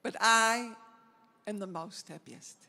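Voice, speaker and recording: A woman's voice: medium pitch, slow, creaky, pushed and bright. An older woman giving an emotional speech of thanks, pleading and repeating her words. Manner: pleading, repetitive. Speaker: hostage's wife giving a speech. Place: large banquet hall.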